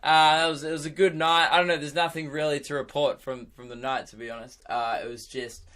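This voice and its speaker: A young man with a hoarse, worn-out voice, rambling excitedly on his own podcast, laughing and swearing as he goes. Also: on the high side, fairly quick. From Australia. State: hoarse, lost voice. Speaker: podcast host and radio presenter. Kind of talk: casual solo podcast chat.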